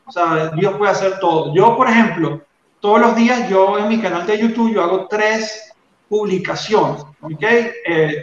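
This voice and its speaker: A Venezuelan man with a Venezuelan accent and a high voice speaks earnestly, stumbling over fillers and restarts. His voice comes through a very echoey room on a dull, muffled line. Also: slight background noise. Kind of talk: casual faith testimony chat.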